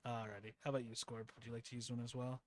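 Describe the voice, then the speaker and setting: A man's voice: medium pitch, flat delivery, rapid-fire. A man running a tabletop game as game master, chatting casually and laughing often between his words. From Philippines heritage.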